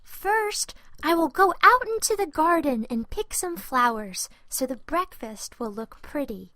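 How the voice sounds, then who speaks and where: A woman voicing an eager, easily upset young boy in a children's storybook, in an expressive way. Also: high-pitched, a little slow, no room sound, pitched above the performer's own voice, exaggerated voice. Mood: excited.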